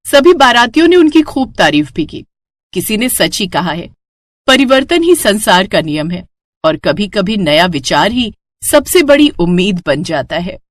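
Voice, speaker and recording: A woman's voice: mid-range voice, no echo. A woman narrating a scripted moral story as the storyteller.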